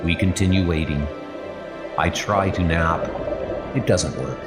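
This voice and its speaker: A man's voice: low voice, unhurried. A man voicing a young British midshipman narrating his wartime diary in a subdued, introspective way. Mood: reflective. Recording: voice over loud background music.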